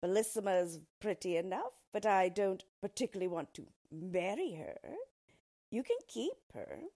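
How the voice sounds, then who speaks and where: A woman voicing an ugly, boastful male dwarf who talks in a smug, disdainful, drawn-out way, in a storybook reading. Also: mid-range voice, expressive, dark tone.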